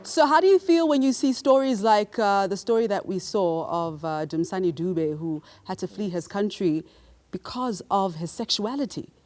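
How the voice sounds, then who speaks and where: A woman hosting a televised studio debate, introducing guests and putting questions to them in a brisk way. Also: mid-range voice, darkish tone. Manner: brisk, leads applause. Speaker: debate host. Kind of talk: TV studio moderating.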